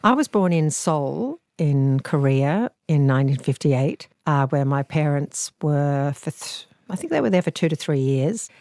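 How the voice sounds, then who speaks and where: A woman in her sixties with an Australian accent, an actor recalling her family history in a measured, reflective way. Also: sits low, expressive, no room sound, clean studio sound. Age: 60s. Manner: thoughtful, measured, gentle. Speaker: actor and author guest. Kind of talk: reflective interview storytelling. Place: living room, seated on a sofa.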